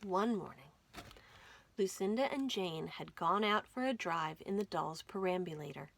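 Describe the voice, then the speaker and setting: A woman reading a children's story aloud as a storytime host, with dramatic, expressive delivery. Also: on the low side, normal speed, vocal fry, slight background noise. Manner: dramatic, animated storytelling with whispers and emphasis. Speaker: library storytime reader.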